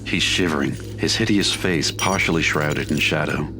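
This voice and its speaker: An actor playing the solemn narrator of a dark audio drama, reading scripted scene descriptions. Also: male voice, a bass voice, moderate pace, background noise. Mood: ominous.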